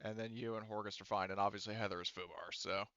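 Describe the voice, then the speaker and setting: Guy game mastering a tabletop rpg, clean voice, kinda halting, talks stuff out as he goes, no room sound. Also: lowish voice, steady tone, sharp tone, no room sound, muffled recording. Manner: halting, informal, thinking aloud. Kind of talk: casual running of a tabletop roleplaying game.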